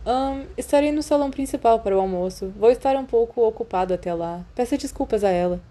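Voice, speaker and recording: A young Brazilian schoolboy, voiced by a woman narrator, talks politely and a little evasively in a storybook tale. The delivery is mellow and hesitant, with an uneasy edge. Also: fairly high pitch, fast, natural voice. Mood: hurried, polite.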